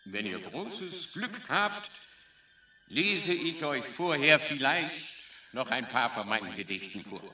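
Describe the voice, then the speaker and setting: An actor playing a spiteful, bullying alien spaceship captain in a radio drama, barking menacing announcements with sadistic relish. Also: male voice, mid-range voice, sing-song, slow, heavily acted. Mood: gloating menace. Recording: echoing ship loudspeaker, slightly distant.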